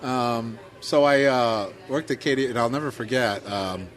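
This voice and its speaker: A man's voice: medium pitch, moderate pace, fairly bright tone. A middle-aged man with a Midwestern accent, telling his career story in a casual podcast chat, full of fillers and self-deprecating asides. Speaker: podcast co-host.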